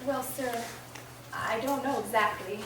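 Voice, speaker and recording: Woman acting timid forgetful wife in an old style radio play, kinda high, far from mic, hissy. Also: a little slow, lightly acted. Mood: hesitant, troubled. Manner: meek, hesitant, polite. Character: frail, forgetful, trusting married woman, anxious after illness. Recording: roomy, distant, noticeable hiss.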